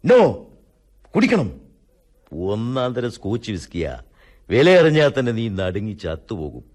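An actor playing a bitter, drunken planter who taunts and broods theatrically in a radio drama. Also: male voice, medium pitch, wildly swooping pitch, measured pace, darkish tone. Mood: taunting. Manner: theatrical, brooding, swinging from murmur to outburst.

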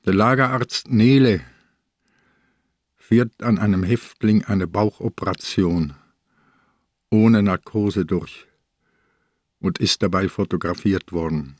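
An actor playing a sick, stubborn old police inspector in a radio crime play, gruff and deliberate, probing and gently mocking. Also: male voice, fairly low pitch, dry recording, clean studio sound. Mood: grave, insistent. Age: older. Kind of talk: radio drama acting. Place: dry radio studio.

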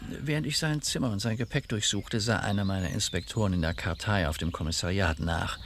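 An actor playing a discreet, resigned French police commissioner in a German radio crime drama, speaking formally, with measured courtesy and a husky voice. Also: male voice, lowish voice, fairly bright tone, slight background noise. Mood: businesslike. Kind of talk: German radio play acting.

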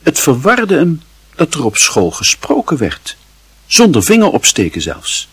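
A man narrating a radio play in a measured, expressive, literary storytelling style. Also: on the high side, slightly rough, dry recording.

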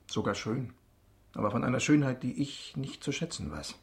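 Radio drama role: wellborn young bachelor, mid-pitched, gravelly creak, polite, frank, faintly resigned. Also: male voice, big pitch swings, normal speed. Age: young adult. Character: candid young viscount, wellborn, reluctant bridegroom. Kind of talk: German radio drama acting.

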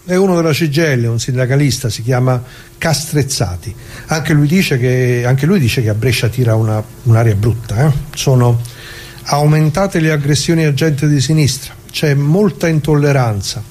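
An actor playing an elderly, plain-spoken working-class man from northern Italy in a conversational monologue, talking haltingly and colloquially straight to listeners. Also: medium pitch, darkish tone, natural voice. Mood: indignant. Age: older.

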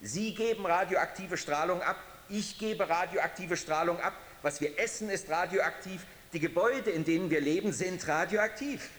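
An actor in a radio drama playing an assured orator who makes emphatic, repetitive declarations as in a public speech. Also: male voice, high voice, normal speed, hardly any pauses, a bit bright. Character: assured male orator calmly declaring radiation natural. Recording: long echoing hall reverb.

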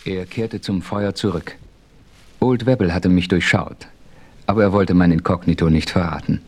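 A man playing a calm, self-assured western hero who narrates his own adventure in a radio play. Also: sits low, normal speed, slightly rough. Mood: suspenseful.

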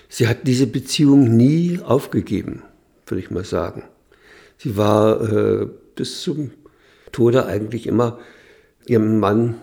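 An older man recalling his family in spontaneous interview talk, halting and repeating words, with emphatic bursts. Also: mid-range voice, gravelly, mellow. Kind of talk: spontaneous reminiscence.

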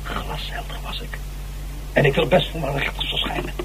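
A man's voice: fairly high pitch, fairly even intonation, normal speed, fairly bright tone, in a whisper, natural voice. A man in a Dutch radio drama playing an agitated, boastful old stage actor who stammers theatrically and defensively. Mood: desperate, defiant. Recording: noisy, humming old radio recording.

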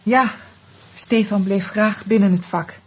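An actress playing a bitter, independent divorced wife in a radio play, speaking in a measured, pointed way. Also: female voice, medium pitch, steady tone, slightly rough. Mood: grave, cool. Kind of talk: naturalistic radio drama dialogue.

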